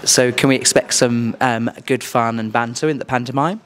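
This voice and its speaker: A young man asks questions as an interviewer. His voice sits at a middling pitch, and he sounds keen and upbeat. The style is casual, spoken into a handheld microphone. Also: steady tone, normal speed, fairly bright tone. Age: young adult. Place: small indoor room on a sofa. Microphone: handheld reporter microphone.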